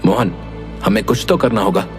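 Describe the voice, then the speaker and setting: A man's voice: lowish voice, lively intonation, normal speed, natural voice. Casting: male actor as young prince for a cartoon fable, earnest, dramatic, humbled, music bed underneath. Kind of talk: Hindi animated moral story voice acting.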